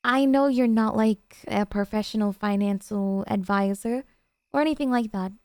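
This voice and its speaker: A woman's voice: medium pitch, moderate pace, a little mellow, no room sound, studio quality, lightly acted. A woman playing an obsessive, possessive yandere girlfriend in an intimate ASMR roleplay, talking in a coy, cutesy, hesitant way to her sweetheart. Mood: sweet pleading.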